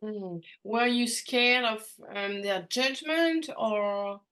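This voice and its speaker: A woman's voice: on the high side, slow, fairly bright tone. Hesitant woman host, non-native English. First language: not English. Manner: hesitant, many fillers and restarts. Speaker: podcast host. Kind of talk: podcast interview.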